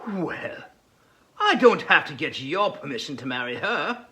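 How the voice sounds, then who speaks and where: A man's voice: fairly high pitch, very animated, measured pace, bright, forceful tone, exaggerated voice. A man playing a defiant, indignant, hot-headed young fiancé in a dramatized novel reading.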